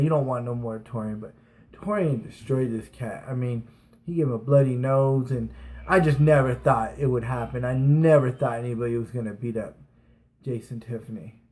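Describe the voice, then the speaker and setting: A man's voice: medium pitch, normal speed, darkish tone. A man in his thirties casually telling stories from his school days on his vlog, rambling with restarts and fillers. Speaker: vlogger. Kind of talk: casual storytelling.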